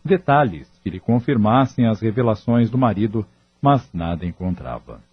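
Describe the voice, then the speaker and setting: A man's voice: on the low side, big pitch swings, moderate pace, husky, no echo, muffled recording. A man narrating a scripted audio drama.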